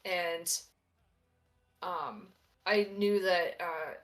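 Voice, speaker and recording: A woman's voice: on the low side, steady tone, extremely slow. A woman chatting casually on a livestream, telling personal anecdotes in a rambling, conversational way.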